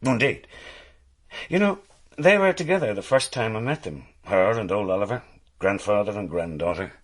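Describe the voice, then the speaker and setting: An actor playing a gentle parish priest in a radio drama, measured and wistful with dry humour. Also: male voice, lowish voice, expressive. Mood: wistful, wry.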